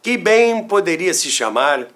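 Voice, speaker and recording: Slow, expressive Brazilian man telling stories. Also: high voice. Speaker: radio history podcast host. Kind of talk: scripted storytelling narration.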